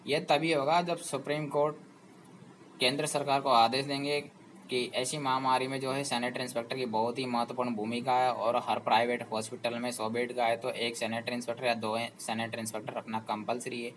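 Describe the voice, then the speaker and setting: A man's voice: mid-range voice, level tone, fairly quick, low-quality recording. Man explaining persuasively over faint hiss. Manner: persuasive, rhetorical questions to viewers. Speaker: job information channel host. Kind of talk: explanatory talk to students.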